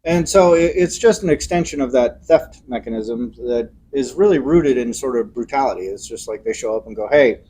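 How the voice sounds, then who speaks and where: A man co-hosting a podcast, explaining ideas in a casual, animated way and acting out examples in different voices. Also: mid-range voice, fairly quick, few pauses, slight background noise, rough recording. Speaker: podcast co-host.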